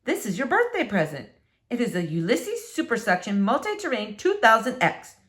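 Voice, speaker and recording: A woman's voice: fairly high pitch, big pitch swings, moderate pace, fairly bright tone. A woman voicing an enthusiastic, boastful storybook husband with a salesman-like delivery. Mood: proud. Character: enthusiastic husband showing off a vacuum cleaner gift.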